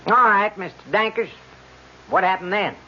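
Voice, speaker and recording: An actor playing a methodical, weary defense lawyer in a radio drama, questioning formally and deliberately. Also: male voice, sits high. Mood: probing. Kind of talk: 1970s radio drama acting. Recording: dull old radio broadcast recording.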